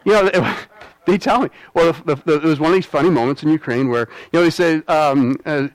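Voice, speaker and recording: A man's voice: on the high side, brisk, no echo, low-quality recording. A man from the United States preaching a rambling, conversational sermon, with frequent "all right?" check-ins and self-deprecating humor. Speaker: pastor.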